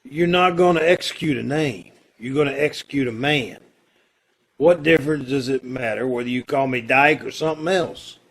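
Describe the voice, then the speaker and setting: A man's voice: mid-range voice, moderate pace, fairly bright tone, husky. A man acting a stubborn, unrepentant condemned murderer in an old-time radio play, plain-spoken and stoic. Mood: evasive, stubborn. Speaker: amateur radio-troupe actor.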